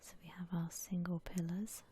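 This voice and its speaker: A woman whispering gently and unhurriedly in a soft, breathy ASMR style, musing aloud as she comments on a game. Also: fairly low pitch, level tone, moderate pace, a little hiss or hum. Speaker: host of an ASMR gaming channel.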